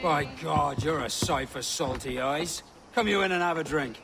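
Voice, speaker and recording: An actor playing a blustery, seasoned pirate captain, gruff and sceptical, with a salty, condescending manner in a video game cutscene. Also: male voice, fairly high pitch, moderate pace, over music. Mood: hearty.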